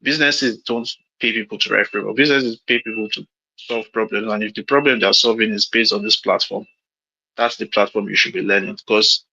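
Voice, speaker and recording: A man's voice: fairly low pitch, a little fast, bright, forceful tone, breathy edge. A man in his late thirties with a Nigerian accent, giving frank, rambling career advice on a call and often restarting his sentences. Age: late 30s. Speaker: mentor hosting a call. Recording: lo-fi call audio.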